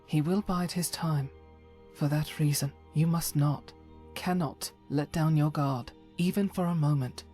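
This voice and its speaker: A woman voicing a devout, weary female warrior of a holy order in a fantasy game, earnest and solemn, with a husky, breathy voice and halting formal phrasing. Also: low voice, fairly even intonation, dark tone, soft background music. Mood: solemn, determined. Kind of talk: voice acting for fantasy game companion.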